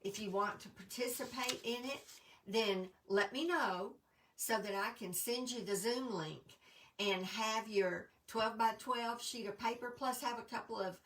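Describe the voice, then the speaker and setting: A middle-aged woman with a stuffy, congested voice, chatting casually to viewers, with lots of ums and throat clearing. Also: a little high, a bit bright, distant mic, rough recording. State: congested from allergies. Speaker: crafting demonstrator. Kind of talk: casual live-stream chat.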